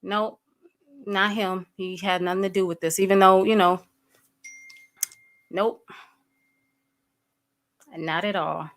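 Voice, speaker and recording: A woman's voice: mid-range voice, steady tone, slow, long pauses. Blunt, fired-up woman livestreaming. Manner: blunt, fired-up and profane, with frequent fillers; greets viewers by name and addresses them directly. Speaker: livestream host. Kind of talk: casual livestream talk to chat.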